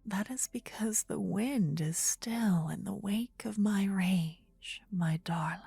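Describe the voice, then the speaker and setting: A woman playing a possessive, tender demon queen in a fantasy ASMR roleplay, with a soft breathy whisper and an intimate, regal, coaxing manner. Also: mid-range voice, slow, dry recording, exaggerated voice. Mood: possessive, soothing.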